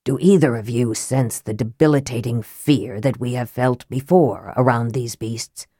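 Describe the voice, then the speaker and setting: A woman voicing a grim, caustic young male wizard in an audiobook, slow and hushed with bitter deliberation. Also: very deep voice, slightly rough, no room sound, studio quality, pitched below the performer's own voice, heavily acted. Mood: measured, wary. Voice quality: hushed, whispery. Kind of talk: audiobook character acting.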